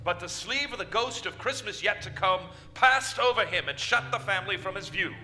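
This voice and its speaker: A man's voice: high voice, expressive, fairly quick, sharp tone, heavily acted. A man narrating a ghost tale in a staged radio play, as a solemn, ominous storyteller. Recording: long reverberant hall, music behind.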